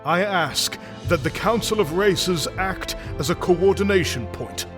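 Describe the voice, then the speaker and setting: A man playing a stalwart knight grandmaster in a fantasy audio drama, giving stately, deliberate oratory over music. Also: sits high, expressive. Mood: solemn, resolute.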